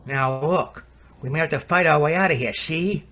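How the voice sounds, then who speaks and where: A man playing a nervous, scheming, hapless fellow in an old-time radio comedy, halting and coaxing. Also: on the high side, a bit bright, close to an everyday voice.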